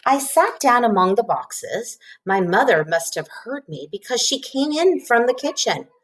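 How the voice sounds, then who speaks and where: A woman reading a children's storybook aloud in an expressive narrating style. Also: fairly high pitch, a little slow, low-quality recording. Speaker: storybook reader.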